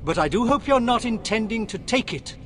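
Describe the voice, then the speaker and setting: High-voiced, pompous old English professor. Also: male voice, lively intonation, brisk, fairly bright tone, a little hiss or hum. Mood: genial, knowing. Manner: avuncular, flowery. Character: genial, pompous older male potions professor. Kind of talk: video game voice acting.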